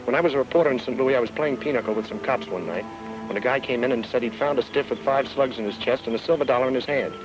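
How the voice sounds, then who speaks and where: An actor playing a tough, take-charge private detective with clipped, slangy, hard-boiled delivery in 1940s film noir style. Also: male voice, medium pitch, fast, lightly acted, rough recording. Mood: explaining, wry. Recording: old film soundtrack with background music.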